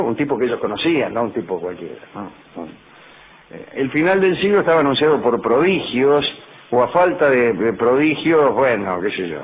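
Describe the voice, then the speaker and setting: A man's voice: mid-range voice, a little hiss or hum, rough recording. Wry Rioplatense man lecturing on radio. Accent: Rioplatense Spanish. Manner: wry, digressive storyteller with dry asides. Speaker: radio host.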